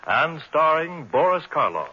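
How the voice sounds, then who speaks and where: A man's voice: on the high side, sing-song, measured pace, pushed and bright, slightly rough, heavily acted. A man as a formal announcer of a radio play, in an old-time broadcast style. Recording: dull old radio recording.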